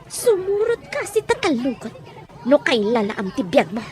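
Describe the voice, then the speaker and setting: A woman's voice: sits high, very animated, moderate pace, music in the background, crowd noise. A woman playing a harsh, domineering madam in an Ilocano audio drama, commanding and scornful. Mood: menacing. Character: harsh, domineering madam interrogating and threatening her captive.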